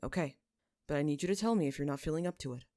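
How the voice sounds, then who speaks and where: A woman's voice: low-pitched, racing, dark tone, no room sound, clean studio sound, natural voice. Solemn, weary young lord voiced by a woman. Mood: concerned. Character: earnest, remorseful young male lord, dutiful and weary.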